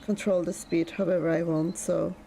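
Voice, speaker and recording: A woman's voice: fairly low pitch, steady tone, normal speed, creaky, mellow. A woman with a Norwegian accent chatting casually, rambling with lots of fillers. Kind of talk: casual chat.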